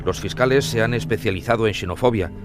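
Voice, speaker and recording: A man's voice: fairly low pitch, fast, over music. A man with an accent from Spain, reading scripted true-crime narration.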